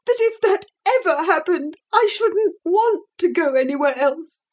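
A woman's voice: sits high, animated, a little slow, darkish tone, pitched above the performer's own voice. A woman voicing a bitter, defiant former servant woman who speaks her mind scornfully. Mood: tearful fury. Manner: scornful, fierce, tearful outbursts.

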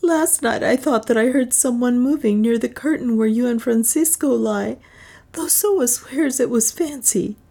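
A woman reader plays a terrified young heroine of an adventure story. The voice is pitched high, tearful and pleading, trembling on the edge of despair. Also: a little slow, vocal fry, dark tone. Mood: weeping, pleading. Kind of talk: audiobook character acting.

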